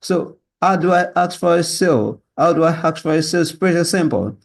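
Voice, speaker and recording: A Yoruba-speaking Nigerian man with a learned British accent, pitching himself as a salesman in an assertive monologue, often tagging statements with a questioning yeah or right. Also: lively intonation, brisk, vocal fry. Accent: learned British with Nigerian influence. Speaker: sales closer, job applicant. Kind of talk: self-presentation monologue to camera.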